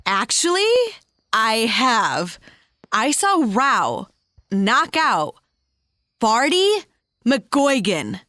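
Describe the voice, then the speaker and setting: Laid-back female traveler, acted by a woman: higher-pitched, conversational, close dry recording, audio drama. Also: big pitch swings, drawn-out words, pauses often, bright, forceful tone, dry recording, exaggerated voice. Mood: quietly concerned. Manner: casual, conversational.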